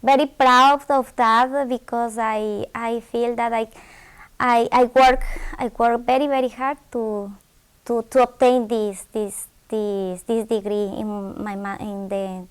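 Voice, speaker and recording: Spanish-accented young woman, slow careful english, keeps restarting, smooth clear voice kinda high. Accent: Ecuadorian Spanish. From Ecuador. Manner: halting, many restarts and repeated words. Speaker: graduate scholarship student. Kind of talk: interview answers.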